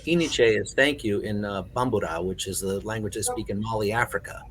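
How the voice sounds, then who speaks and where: A man in casual podcast conversation, telling stories in an animated way with plenty of conversational fillers. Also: fairly low pitch, a little fast, a little hiss or hum, rough recording. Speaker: podcast panelist. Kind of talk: casual chat.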